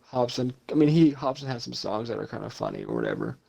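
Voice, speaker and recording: A man's voice: medium pitch, huge pitch swings, quick pace, creaky, warm tone, husky. A young man from Ohio chatting casually on a podcast, rambling and swearing freely. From Ohio, USA. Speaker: podcast co-host. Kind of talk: casual chat.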